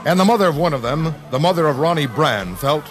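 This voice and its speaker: Male narrator, American, slightly high-set voice, grave and measured, vintage radio documentary with clear music bed. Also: expressive. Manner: solemn, deliberate, measured phrasing. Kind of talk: scripted dramatic 1950s radio documentary narration. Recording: vintage 1950s radio broadcast, music underneath. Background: dramatic music.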